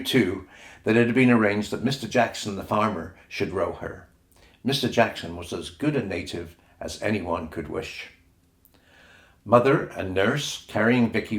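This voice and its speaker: Audiobook narrator, male, lower register, scripted storytelling read, noticeably roomy recording. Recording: roomy echoing sound.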